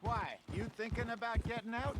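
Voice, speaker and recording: An actor playing an older American outlaw hunter in a western game, a folksy, measured, seasoned mentor. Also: male voice, very high voice. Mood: reflective. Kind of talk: western video game voice acting. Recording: clear background noise, horse hoof clatter.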